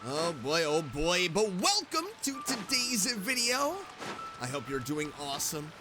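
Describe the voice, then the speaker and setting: A woman's voice: fairly low pitch, very animated, a little slow. A woman doing casual let's play commentary, playful and chatty, reacting aloud to game characters. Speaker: streamer playing and reacting to a game. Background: game music.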